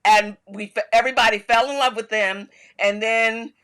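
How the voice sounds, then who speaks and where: An older woman co-hosting a casual chat, telling stories in a loud voice and laughing often. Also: on the high side, steady tone, moderate pace, smooth. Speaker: podcast co-host.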